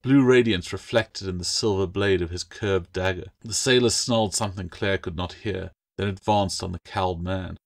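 A man's voice: low voice, normal speed, creaky, few pauses. A man reading his own fantasy novel as a scripted audiobook, with dramatic storytelling delivery.

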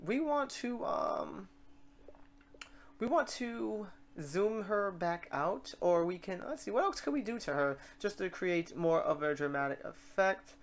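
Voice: medium pitch, huge pitch swings, a little slow, vocal fry. A tutorial host narrating a screen recording in a casual, rambling way, thinking aloud while working through the steps.

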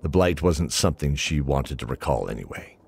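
A man's voice: extremely low pitch, big pitch swings, brisk, creaky, darkish tone, husky. A man narrating an audio drama in a dramatic, scripted storytelling style.

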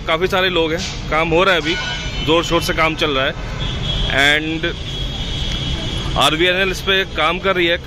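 A man's voice: on the high side, fairly even intonation, a little slow, long pauses, over music. A man with an Indian accent narrating casually on site as a vlogger, repeating himself and mixing in English words. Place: outdoor construction site beside a busy road. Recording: noisy outdoor street with heavy traffic. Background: traffic and city ambience.

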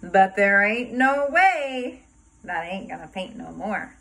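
Bright, playful woman reading to kindergarteners. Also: medium pitch, sing-song. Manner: dramatic pauses before rhymes, asks listeners questions. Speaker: kindergarten teacher reading aloud. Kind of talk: animated picture-book read-aloud for young children, sing-song rhymes.

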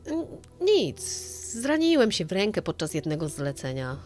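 A woman's voice: on the low side, big pitch swings, normal speed, darkish tone. Guilty husband, played by a female narrator: jittery, stammering, evasive, crime radio play over music. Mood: nervous, evasive. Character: nervous, lying husband hiding a murder.

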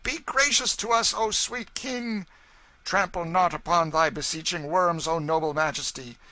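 Man putting on shrill, wailing mocking vagabonds. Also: unhurried, bright, forceful tone, no room sound. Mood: mock pleading. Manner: shrieking, wailing, mock-pleading. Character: jeering mob of ragged vagabonds, mockingly worshipful.

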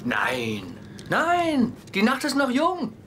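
A man's voice: extremely high pitch, huge pitch swings, slow, bright, forceful tone, a little hiss or hum, exaggerated voice. An actor dubbing a brash, showy womanizer in his thirties in a German sitcom. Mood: cocky. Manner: cocky, showy. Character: brash smooth-talking womanizer man, 30s. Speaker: dub actor.

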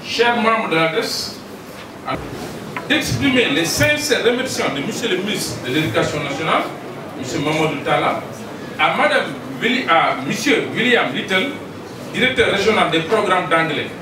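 A man's voice: high voice, expressive, moderate pace. An older man with a Senegalese accent solemnly reading an official speech on behalf of a minister, into a handheld mic in a large hall. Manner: solemn, ceremonious, reading aloud. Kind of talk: formal speech read from notes. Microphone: handheld mic, filmed from a distance. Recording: echoey hall, distant, noisy.

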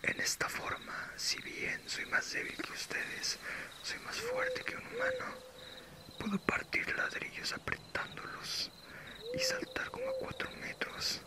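A man voicing a modest, friendly young male werewolf in a breathy, casual whisper for an ASMR roleplay. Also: very high voice, level tone, a little slow, voice pushed up. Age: young adult. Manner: casual, unassuming.

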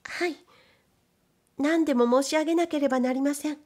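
A young orphan girl from a storybook, performed by a woman narrator, pitched high. She is earnest and a little hesitant, choosing formal, deferential words with care. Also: moderate pace, darkish tone, natural voice. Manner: deferential, careful, formal politeness. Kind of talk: audiobook character reading.

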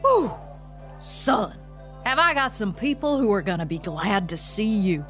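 A woman's voice: mid-range voice, big pitch swings, unhurried, a bit bright, faint music behind. An actress playing a tough female police chief in an audio drama, sarcastic, folksy and authoritative. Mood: brisk, assured. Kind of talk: scripted audio drama acting.

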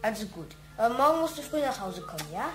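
High-pitched eager young boy. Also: sing-song, quick pace, fairly bright tone, reverberant. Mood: eager, pleading. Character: young boy, eager kite builder pleading with father. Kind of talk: radio play acting.

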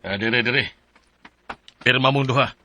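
A man's voice: mid-range voice, measured pace, very noisy, natural voice. An actor with a Cebuano accent playing a harsh, stingy male employer, curt and bossy, in a radio drama. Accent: Cebuano Filipino. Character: harsh, stingy male employer ordering his helpers around.

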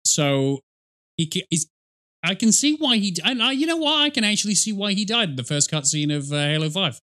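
A man's voice: high voice, big pitch swings, a little fast, pushed and bright. A middle-aged man giving casual, rambling, wry commentary as he reacts to video.

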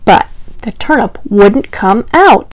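A woman's voice: a little high, animated, slow pace, dry recording. A young woman telling stories to small children as a storytime host, with exaggerated, encouraging delivery aimed straight at the listeners. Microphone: clip-on lapel mic.

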